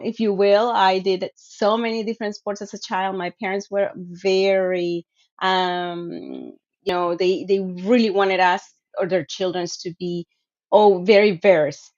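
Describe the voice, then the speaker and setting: A woman's voice: mid-range voice, darkish tone. Woman on a muffled call. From Venezuela. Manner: earnest, reflective, with many fillers. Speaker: guest speaker, sport shooter. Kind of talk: casual personal storytelling. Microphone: video call.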